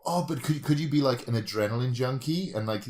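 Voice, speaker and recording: A man's voice: medium pitch, fairly quick, a little mellow. A man co-hosting a podcast, joking and bantering in casual conversation. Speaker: podcast co-host.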